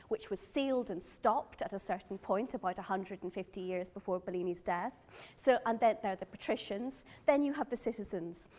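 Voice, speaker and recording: A woman's voice: medium pitch, a little mellow. A middle-aged woman from Northern Ireland with a Northern Irish accent, giving an earnest, enthusiastic gallery lecture as a museum curator.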